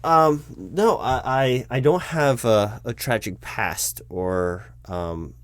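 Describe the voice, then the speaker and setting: A man playing a nervous, baffled corporate recruiting manager in an audio roleplay, polite and earnest with halting, stammering speech. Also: medium pitch, no room sound, natural voice. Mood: awkward, earnest. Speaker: roleplay performer. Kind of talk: one-sided audio roleplay of a job interview.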